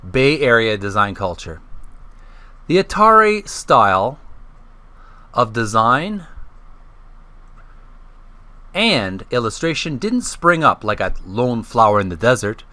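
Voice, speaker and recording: Male gaming vlogger, animated intonation, bright tone, hesitant delivery, casual presenting and read-aloud. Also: mid-range voice, takes it slowly, pauses often, no room sound. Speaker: host of a video gaming channel. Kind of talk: casual vlog intro and reading aloud from a book.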